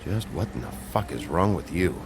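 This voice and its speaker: An actor playing a gruff, terse, impatient hard-boiled investigator in a video game. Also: male voice, low voice, animated, moderate pace, noisy background. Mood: blunt, businesslike. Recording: music behind the voice.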